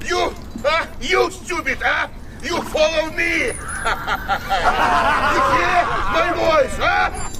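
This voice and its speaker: Radio drama, male: mocking barbarian chief, very slow broken shouts, off-mic, crowd and noise behind. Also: very high voice, expressive, exaggerated voice. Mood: mocking, menacing. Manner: shouting, taunting, broken phrases. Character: Attila, loud, mocking, menacing barbarian warlord. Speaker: actor. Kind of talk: historical radio drama acting. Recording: distant shout amid crowd and outdoor noise.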